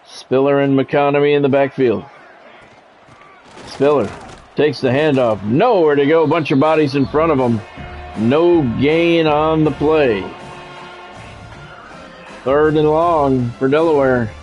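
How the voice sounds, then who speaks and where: A man's voice: on the high side, fairly even intonation, slow pace, long pauses, a little mellow, lo-fi sound, faint music behind. A man doing radio-style play-by-play over a college football video game, with an announcer's cadence that builds on big plays. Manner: switches between broadcast calls and chatting with viewers. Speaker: gaming streamer doing play-by-play.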